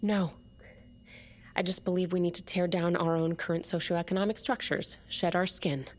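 An actress playing a defiant, cynical leader of an underground separatist movement in an audio drama. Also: female voice, fairly low pitch, fairly even intonation, normal speed, close to an everyday voice. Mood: earnest, ideological.

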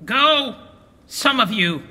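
New king giving orders, shakespeare radio play, high voice, slow and curt, big hall reverb. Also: expressive, bright, forceful tone, full character voice. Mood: commanding. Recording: echoing hall with faint noise.

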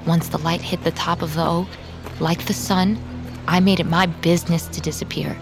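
A woman plays a haunted vampire looking back, narrating an audio drama. The voice is low and measured, hushed and wistful, with music clearly behind it. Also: steady tone, lightly acted. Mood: somber. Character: reflective, haunted female vampire recalling girlhood.

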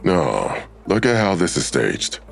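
An actor playing a terse, brooding police detective who questions everything in an audio drama, with a gravelly voice. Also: male voice, sits low, sing-song, slow pace, faint music behind, full character voice. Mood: brooding, intent.

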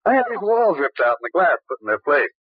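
A breezy, evasive gentleman in a vintage radio mystery. His husky voice moves expressively up and down, with a glib delivery. The sound is dry and muffled, an old broadcast. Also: on the high side, sing-song, fast talker, no room sound.

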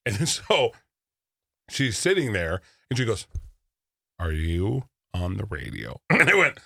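A man's voice: lowish voice, huge pitch swings, slow pace, gravelly, bright, forceful tone, breathy edge, no echo, studio quality. A man co-hosting a radio show, talking in a slurred, lisping way because of a device in his mouth, laughing often as he chats. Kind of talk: casual banter.

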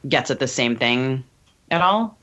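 A woman's voice: very deep voice, animated, unhurried, a bit bright. A woman hosting a podcast, asking questions and chatting in a casual conversational style. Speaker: podcast host.

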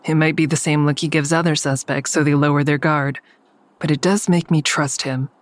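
A woman's voice: low voice, fairly even intonation, normal speed, no echo, natural voice. An actress playing a weary, watchful woman who narrates her own story in an audio drama. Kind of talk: scripted first-person audio drama narration.

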